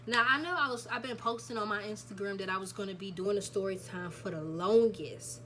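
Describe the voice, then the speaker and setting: A woman's voice: medium pitch, a little fast, bright, forceful tone, a little hiss or hum. A nineteen-year-old woman, chatting casually to camera and repeating herself, telling viewers "y'all" about her news. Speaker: vlogger. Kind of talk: casual storytime chat to camera.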